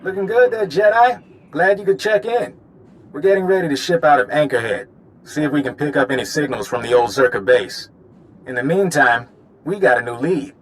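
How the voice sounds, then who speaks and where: A man's voice: fairly high pitch, normal speed, close to an everyday voice, low-quality recording. A man playing a wry, easygoing field agent in a video game, talking informally. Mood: brisk, friendly. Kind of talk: video game dialogue acting.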